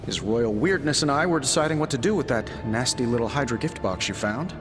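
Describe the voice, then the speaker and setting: A man's voice: mid-range voice, animated, brisk, close to an everyday voice. Man playing a glib American superhero, reverberant. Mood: sardonic. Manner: glib, sarcastic, wisecracking. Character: cocky, wisecracking inventor superhero man. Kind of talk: video game cutscene acting. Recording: long hall reverb under music.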